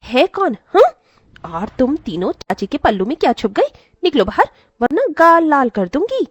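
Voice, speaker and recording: A woman's voice: high voice, sing-song, normal speed, no echo. A woman voicing a cruel, bullying stepmother in a dramatised moral story, scolding and sneering with threats. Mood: scolding, threatening. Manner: scolding, sneering, name-calling.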